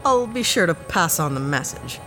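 A woman playing a wry, sceptical, hard-up spaceship pilot in a sci-fi audio drama, with sarcastic, halting asides. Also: lowish voice, big pitch swings, music in the background. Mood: awkward, joking.

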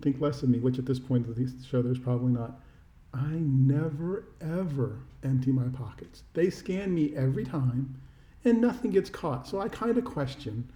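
A man's voice: mid-range voice, expressive, normal speed, warm tone. A middle-aged American man co-hosting a podcast, chatting casually about sports in a wry, self-deprecating, rambling way, with a roomy echo. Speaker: podcast co-host. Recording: roomy, echoey sound.

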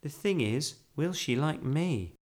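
A man voicing a polite, timid young bear in a children's storybook, softly and earnestly. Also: fairly high pitch, animated, slow pace, pitched above the performer's own voice. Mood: anxious. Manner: soft, earnest, courteous.

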